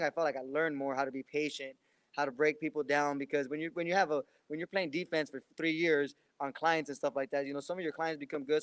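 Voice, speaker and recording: Fast-talking young male boxer, rambling. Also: fairly high pitch, fairly bright tone, smooth, dry recording. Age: 20s. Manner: rambling, many restarts and fillers. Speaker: professional boxer. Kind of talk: casual interview answers.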